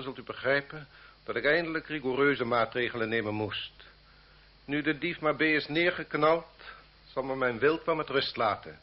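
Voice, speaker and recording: An actor playing a cruel, haughty French marquis in a radio drama, speaking in a pompous, formal, condescending way. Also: male voice, mid-range voice, close to an everyday voice. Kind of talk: Dutch radio drama acting. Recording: muffled old radio recording with background noise.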